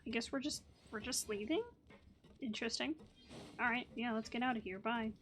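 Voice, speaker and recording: A woman's voice: a little high, a little fast, fairly bright tone, a little hiss or hum. A woman hosting a gaming video with casual, playful commentary, musing aloud to herself. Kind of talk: casual let's play commentary.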